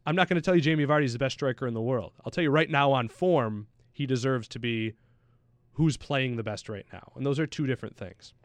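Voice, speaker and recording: A man's voice: mid-range voice, a little fast, no echo. A man chatting casually about sports as a pundit, in a slangy, anecdotal way. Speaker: soccer pundit on a talk show.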